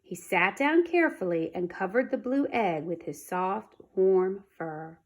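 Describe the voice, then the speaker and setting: A woman reading a children's storybook aloud in an expressive, dramatic manner. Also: medium pitch, a little slow. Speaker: storybook reader.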